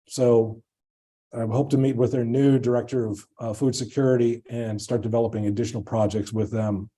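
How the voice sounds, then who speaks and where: A man's voice: mid-range voice, mellow. A man giving an informal presentation as a conservation scientist, speaking in an enthusiastic, conversational way. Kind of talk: informal conference talk to an audience.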